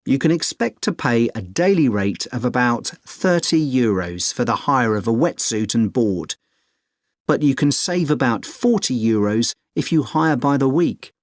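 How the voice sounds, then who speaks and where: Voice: medium pitch, lively intonation, unhurried, no echo, studio quality. A speaker in a scripted exam dialogue, explaining costs and tips in a friendly way. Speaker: surfing adviser in dialogue. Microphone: studio microphone, dry.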